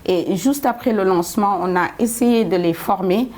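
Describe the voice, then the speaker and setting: A woman answers interview questions in a studio. Her voice has an average pitch, and her delivery is halting, with repeated words. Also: darkish tone. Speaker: development programme representative, studio guest.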